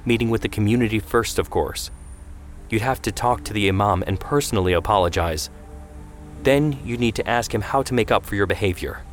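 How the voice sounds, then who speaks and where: A man narrator takes the part of a worried mother in a family story. She is steely and controlled, speaking in firm, measured challenges with a bite underneath. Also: fairly low pitch, soft background music, natural voice. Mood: evenly stern.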